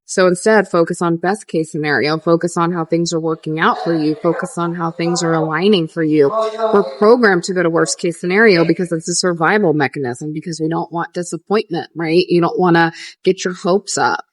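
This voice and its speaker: A young adult woman coaching viewers on a livestream, earnest and repetitive, answering questions with lively rises. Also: on the low side, fairly quick, a crowd in the background. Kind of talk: casual livestream teaching, reading viewer questions.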